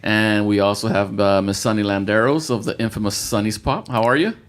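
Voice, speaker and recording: A man's voice: fairly low pitch, fairly even intonation, a little fast. A man hosting a casual sports podcast as a football coach, upbeat, with many "uh" fillers and repeated catchphrases. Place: indoor room with children present.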